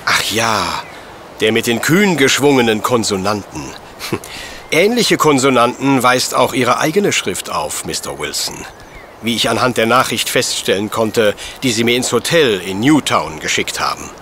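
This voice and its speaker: An actor playing a courteous, coolly logical professor detective in a radio play, speaking in ornate, measured phrases. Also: male voice, mid-range voice, sing-song, bright, forceful tone, a little hiss or hum. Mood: politely probing. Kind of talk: German radio play acting.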